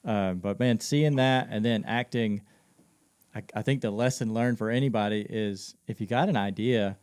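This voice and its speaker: A man's voice: on the low side, normal speed, darkish tone, clean tone. A man co-hosting a podcast, informal and encouraging, with lots of fillers. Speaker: podcast co-host. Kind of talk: casual podcast conversation.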